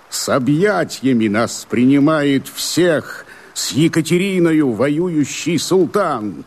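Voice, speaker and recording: Wary rebel sentry, radio verse drama, raspy, brooding, extremely slow, highly animated pitch swings. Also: male voice. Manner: slow, declamatory, with dramatic swells and drops. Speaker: actor in a radio play.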